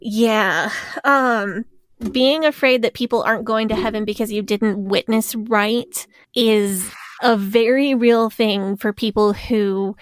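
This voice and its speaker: Woman podcast host, earnest chatty storyteller, higher voice, dry close recording. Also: steady tone, slow pace, smooth, no room sound. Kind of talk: casual podcast chat.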